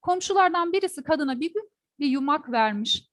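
High-voiced woman telling a folk tale. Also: fairly quick, no room sound, studio quality. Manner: expressive, asks the listeners questions. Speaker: storyteller; teacher. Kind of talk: oral folk-tale storytelling to an audience.

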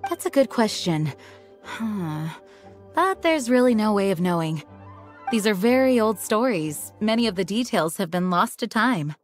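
Casting: dutiful young knight captain, anime game; formal, measured, earnest, medium pitch. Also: female voice, big pitch swings, soft background music. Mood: earnest, explanatory. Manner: composed, formal, measured explaining. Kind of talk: anime video game voice acting.

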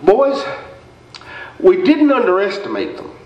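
A man's voice: sits high, wildly swooping pitch, measured pace, darkish tone, exaggerated voice. A man on stage playing a folksy, muddled, blustering small-town basketball coach in a radio comedy, giving rambling pep talks. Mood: earnest lecturing. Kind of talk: staged old-time radio comedy acting. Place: indoor theater stage before an audience. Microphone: stand mic, heard through far camera. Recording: echoey stage hall, distant muffled camera audio.

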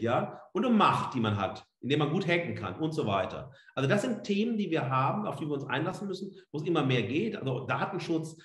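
A middle-aged man giving an academic lecture online as a professor, emphatic, with rhetorical questions and frequent tag words. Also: mid-range voice. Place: indoor room, on a webcam video feed. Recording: roomy, echoing indoor sound.

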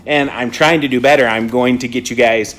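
A man's voice: mid-range voice, steady tone, brisk, fairly bright tone, poor audio. A man in his thirties, a print shop coworker, joking casually into a phone held at arm's length. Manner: jokey, chatty, self-mocking. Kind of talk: casual chat to camera. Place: print shop storeroom indoors.

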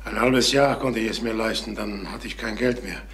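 A man playing a distraught travelling salesman near sixty in a German crime radio drama, halting and repeating himself as he broods. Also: on the low side, fairly bright tone, slight background noise. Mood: weary, resigned. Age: late 50s.

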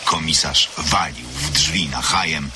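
A man's voice: very deep voice, unhurried, noisy background. A man narrating a literary radio drama of suspense as a solemn storyteller. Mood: grave, measured. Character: solemn male storyteller of a gothic tale.

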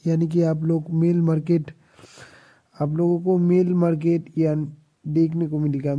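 A man's voice: on the high side, lo-fi sound. A man, a travel vlogger, chatting casually to viewers while walking outdoors, rambling and correcting himself as he goes. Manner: rambling, self-correcting, addresses viewers directly. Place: outdoor hill town square, busy at night. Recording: music playing loudly in background.

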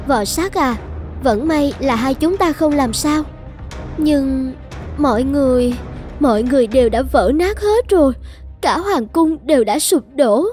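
A woman voicing a humble, earnest ceramic jar in an animated fairy tale. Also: sits high, unhurried, faint music behind. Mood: sorrowful. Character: humble, gentle ceramic jar that wants equality.

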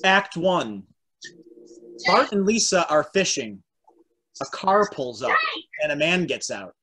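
A man's voice: fairly high pitch, very animated, slow pace, sharp tone, slightly rough. An American man in his forties hosting and narrating a read-through over a video call from home. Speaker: host and narrator. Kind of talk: reading stage directions and trivia on a video table read. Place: room at home. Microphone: video call, computer at arm's length. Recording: noisy video call with clicks.

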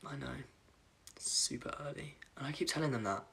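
Croaky young man chatting on a livestream. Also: medium pitch, brisk, pushed and bright. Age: young adult. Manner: chatty, apologetic, scattered, reading comments aloud. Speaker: livestream host. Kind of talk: casual livestream chat. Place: dim bedroom at night, indoors. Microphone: phone camera at arm's length.

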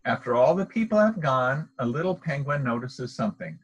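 A middle-aged man reading a children's picture book aloud in a deliberate, expressive storytelling manner, recorded outdoors. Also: medium pitch, slightly rough, dull audio. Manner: deliberate, expressive delivery for young listeners. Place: outdoors, in an open wooded park.

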